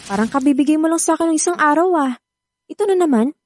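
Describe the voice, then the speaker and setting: A woman's voice: sits high, animated, fast talker, a little mellow. An actress playing an aloof, unimpressed young woman being courted in a Filipino radio drama, curt and dismissive, with a Filipino accent.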